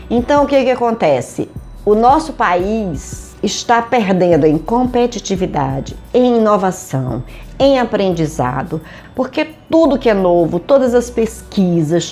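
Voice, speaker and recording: Woman, middle-aged, Brazilian accent from Manaus, HR consultant, conversational and emphatic, medium pitch, faint background music. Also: mellow. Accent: Brazilian Portuguese, Manaus. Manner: conversational, rhetorical questions, emphatic. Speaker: HR consultant and career advisor. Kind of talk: direct-to-camera talk addressing viewers. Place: home studio in Manaus.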